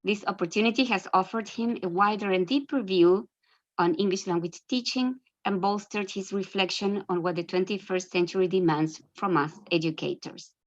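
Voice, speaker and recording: A woman's voice: mid-range voice, normal speed. A woman hosting a webinar, reading a formal scripted introduction of a speaker. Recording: dull, lo-fi online webinar audio.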